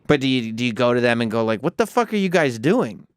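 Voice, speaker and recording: A man with a smooth, resonant voice hosts a casual chat, close and dry. He asks teasing questions and laughs easily. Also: mid-range voice, very animated, quick pace, dry recording.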